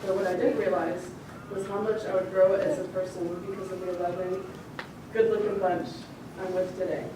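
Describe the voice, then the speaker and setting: A woman's voice: fairly low pitch, level tone, fairly quick. Young woman giving a speech in an echoey hall. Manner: light, joking and tearful at times, frequent laughs. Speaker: graduating student speaker. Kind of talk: ceremony speech. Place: large hall. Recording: echoey hall, far from the mic, audible noise.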